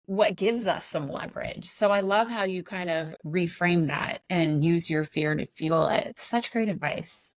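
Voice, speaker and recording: A woman's voice: lowish voice, measured pace, few pauses, no echo. A woman hosting an interview in an informal, conversational way, affirming what her guest says. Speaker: interview host.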